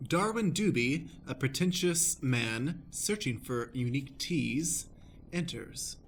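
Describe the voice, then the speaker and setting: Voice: medium pitch, animated, takes it slowly, slight background noise. A narrator announcing a radio play, setting scenes and reading stage directions in a presentational style. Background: prominent music.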